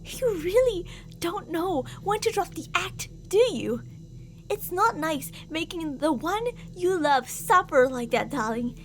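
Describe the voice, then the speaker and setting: A woman's voice: very high-pitched, animated, slow pace, slight background noise, heavily acted. A woman playing an obsessive, delusional stalker in an ASMR roleplay, theatrical and sweetly menacing, with jealous, volatile endearments and reproaches. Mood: menacing sweetness.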